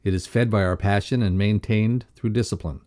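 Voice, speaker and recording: A man co-presenting a motivational podcast lesson, reading scripted text aloud in a measured, instructional way. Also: fairly low pitch, fairly even intonation, darkish tone.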